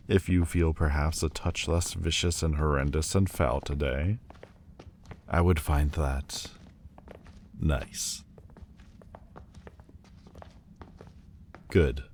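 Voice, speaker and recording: A man playing a proud, wounded demon lord turned mortal in a dramatic audio roleplay, speaking haughtily and theatrically with hesitant pauses. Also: a bass voice, vocal fry, a little mellow, slight background noise, full character voice. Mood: reluctant, soft. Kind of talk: dramatic ASMR roleplay monologue.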